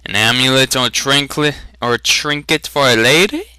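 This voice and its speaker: A man voicing a smooth, courtly merchant in a fantasy audiobook reading, with a theatrical salesman's manner. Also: medium pitch, moderate pace, sharp tone, dry recording, clean studio sound, pitched above the performer's own voice. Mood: salesman charm. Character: smooth male trader, salesman-like, secretive, easily irritated.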